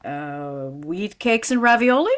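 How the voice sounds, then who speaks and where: A woman's voice: lowish voice, sing-song, takes it slowly, a bit bright, exaggerated voice. A woman playing a wry, sardonic schoolteacher in a 1940s-style radio comedy, with dry, pedantic wisecracks. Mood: dry, puzzled.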